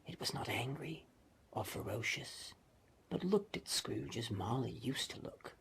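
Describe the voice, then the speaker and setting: A man's voice: medium pitch, gravelly. A man reading a story aloud as an audiobook narrator, theatrical and dramatic in delivery. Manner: theatrical, swinging from emphatic to hushed. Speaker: audiobook reader.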